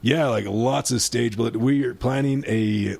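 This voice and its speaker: A man's voice: medium pitch, unhurried, vocal fry, slightly rough, no echo. A man talking casually as a guest on a comedy podcast, rambling and swearing as he plugs his stage play. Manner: rambling, swears freely, excited plugging.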